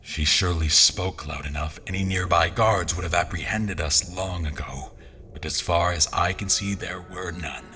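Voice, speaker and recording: An actor playing a brooding, suspicious adventurer, narrating his story in a measured, dramatic way. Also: low voice, bright, forceful tone, soft background music. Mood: measured, wary. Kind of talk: scripted first-person story narration.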